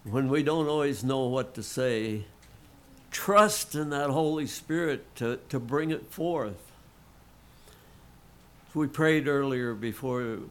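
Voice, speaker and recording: Middle-aged man, lay pastor, slow and earnest sermon, slightly froggy throat. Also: mid-range voice. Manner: slow, earnest, folksy preaching with throat clearing. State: frog in his throat, clearing it.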